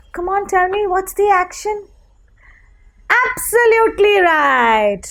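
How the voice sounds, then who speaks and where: A woman teacher leading a phonics lesson for young children, enunciating deliberately and giving encouraging prompts and praise. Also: very high voice. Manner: animated, encouraging, deliberate enunciation with repeated call-and-response questions.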